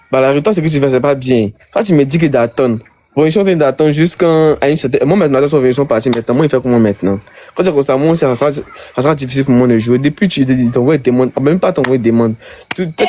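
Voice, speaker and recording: A man's voice: mid-range voice, mellow, a little hiss or hum. A man streaming, pleading with viewers and complaining in a rush.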